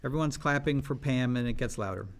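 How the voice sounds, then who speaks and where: Fast-talking man reading stage directions. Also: mid-range voice. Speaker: stage-direction reader at a script table read. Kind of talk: scripted narration read aloud.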